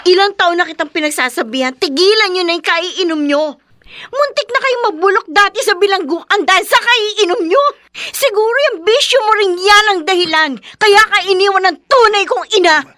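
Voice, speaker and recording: High, bright-voiced anguished daughter. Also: female voice, moderate pace, no room sound, studio quality. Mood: exasperated. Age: young adult. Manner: emotional, dramatic delivery. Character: weary, anguished adult daughter of an alcoholic father. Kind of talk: Filipino radio drama acting.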